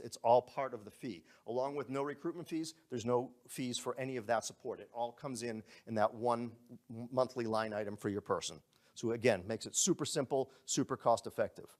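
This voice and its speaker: A man giving a live sales presentation to a group in a room, pitching in an upbeat, conversational way. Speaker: company presenter.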